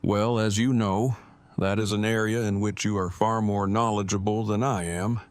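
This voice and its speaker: An actor playing an awkward, earnest man who speaks in a formal, wordy, deliberate way in a fan audio drama. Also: fairly low pitch, fairly even intonation, no room sound, lightly acted. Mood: earnest, curious.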